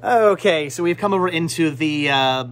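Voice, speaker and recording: A man's voice: a little high, normal speed, a bit bright. A man in his forties chatting casually and playfully to a handheld camera in a shop, often interrupting himself as he rambles. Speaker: vlogger and movie collector. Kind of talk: casual chatty shopping vlog. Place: indoor video and game shop. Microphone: handheld camera at arm's length.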